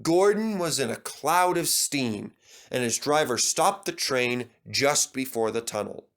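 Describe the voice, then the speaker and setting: A man's voice: mid-range voice, expressive, a little slow, a bit bright. A man narrating a scripted children's story as a storybook reader.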